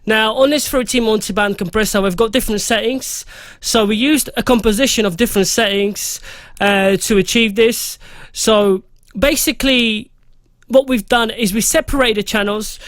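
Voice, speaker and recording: A man with a slightly hoarse, fairly high voice talks through a mixing tutorial in a loose, chatty way. He reads out numbers between casual fillers. The sound is close and dry, like a home studio mic. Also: a bit bright, dry recording. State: slightly hoarse, losing his voice. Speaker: music producer teaching a mixing tutorial. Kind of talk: casual screen-recorded software tutorial.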